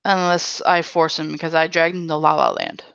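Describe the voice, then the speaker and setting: A woman's voice: low-pitched, normal speed, gravelly, a bit bright, dry recording. A young woman chatting casually as a podcast guest, giving her opinions bluntly and emphatically. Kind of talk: casual chat. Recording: dull, lo-fi, close dry audio.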